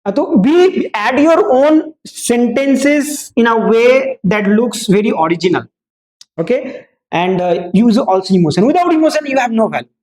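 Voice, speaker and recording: A man's voice: very high voice, animated, brisk, darkish tone, low-quality recording. A man with a Bengali Indian English accent lecturing a class as a speaking teacher, emphatic, with rhetorical questions and repetition, switching between two languages.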